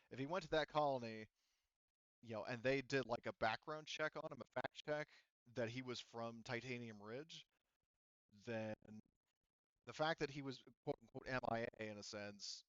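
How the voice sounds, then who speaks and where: A man's voice: mid-range voice, a bit bright, no echo. Dull-sounding man as casual game master. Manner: halting, self-correcting, frequent "you know". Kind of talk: narrating a tabletop roleplaying game, casual and explanatory. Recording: dull, lo-fi voice audio.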